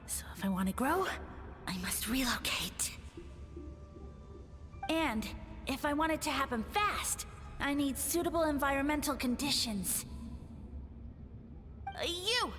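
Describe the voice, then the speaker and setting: A woman's voice: high voice, lively intonation, measured pace, long pauses. A woman voicing a petulant, haughty ancient mushroom lord in a game, whiny and commanding. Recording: long hall reverb with music.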